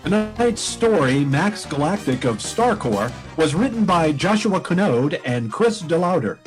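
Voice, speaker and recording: A man's voice: a little high, very animated, a little slow, rough recording. Radio announcer man over faint music. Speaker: announcer reading the credits.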